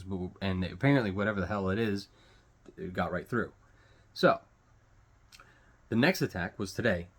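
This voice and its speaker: Male online commentator, lower register, unscripted and rambling, loose casual language with swearing, often hunting for the next word. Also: fairly bright tone. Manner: halting, rambling, searching for words. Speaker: host of his own online show.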